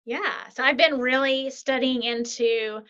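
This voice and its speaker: A woman, a podcast guest and author, chatting in an animated, upbeat way and often checking in with "right?". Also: high voice, a little slow, smooth. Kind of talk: casual interview chat. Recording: lo-fi muffled sound.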